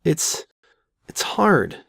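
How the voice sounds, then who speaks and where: A woman's voice: a bit bright, no echo, whispered, clean studio sound. A woman voicing a frightened, guilt-ridden young female pony who stammers and doubts herself in an audio drama. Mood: hesitant. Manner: stammering, halting, anxious. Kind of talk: all-dialogue fan audio drama.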